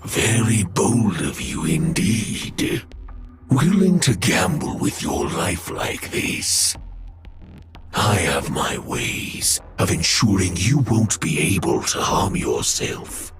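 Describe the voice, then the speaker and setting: An actor playing a menacing machine villain in a video game, mocking and condescending toward humans. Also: male voice, deep voice, big pitch swings, slow, loud music behind the voice, full character voice. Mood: smug threat. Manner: taunting, condescending. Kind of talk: dramatic video game voice acting.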